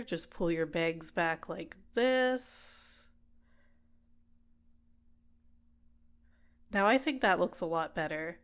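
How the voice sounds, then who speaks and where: Possessive female villain for ASMR roleplay, played by a woman: lowish voice, soft, coaxing, manipulative, tenderly doting. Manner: soft-spoken, teasing, drops into whispers. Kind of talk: ASMR roleplay, intimate personal attention.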